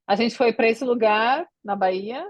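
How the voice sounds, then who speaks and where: A woman's voice: fairly high pitch, a little slow, no echo, lo-fi sound. A woman from Brazil, a podcast guest, chatting casually and rambling with many fillers and restarts. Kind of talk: casual interview chat.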